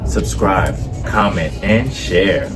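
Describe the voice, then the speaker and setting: A man co-host with a lowish voice hypes up a scripted show opener with punchy energy. Loud music plays under his voice. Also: slow pace. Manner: energetic hype delivery.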